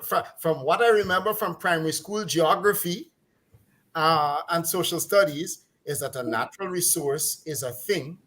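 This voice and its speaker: A man's voice: a little high, fairly bright tone. A middle-aged man with a Guyanese accent, talking casually in a mocking, exasperated way and laughing now and then as he rants. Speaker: commentator on a talk program. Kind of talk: casual opinionated chat.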